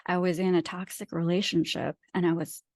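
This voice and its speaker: A woman's voice: on the low side, little pitch movement, a little fast, a little mellow, no room sound, studio quality. A woman from Pakistan who speaks English as a second language, talking as an author and guest in a reflective interview, with an earnest, emphatic, philosophical manner. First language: not English; English is her second language.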